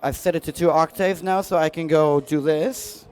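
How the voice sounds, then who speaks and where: Male demonstrator, high register, informal and jokey, slangy product walkthrough delivery. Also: steady tone, moderate pace, darkish tone, smooth, faint music behind. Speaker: product demonstrator at a music trade show. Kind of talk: casual software demo.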